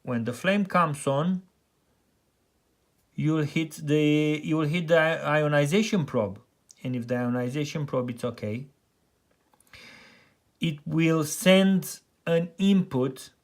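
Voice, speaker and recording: Romanian-accented male heating engineer, slightly higher voice, halting how-to explanation with many fillers. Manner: halting, many fillers and restarts, plain and practical.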